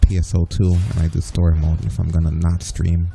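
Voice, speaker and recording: A man's voice: deep voice, little pitch movement, brisk, vocal fry, a little hiss or hum. A man chatting casually while playing an online game with a friend, laid-back and rambling, swearing now and then. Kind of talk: casual gaming chat. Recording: game music playing loudly behind. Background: game music.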